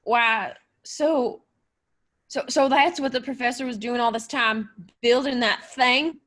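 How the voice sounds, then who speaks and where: A woman playing a gruff, suspicious, excitable country sheriff in an old-style radio play. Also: a little high, measured pace. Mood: disbelieving. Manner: brusque, disbelieving.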